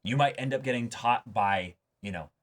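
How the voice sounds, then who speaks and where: A man's voice: medium pitch, normal speed. A man co-hosting a reaction show, talking casually and earnestly, often repeating and restarting phrases as he works through his thoughts. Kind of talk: casual discussion and commentary.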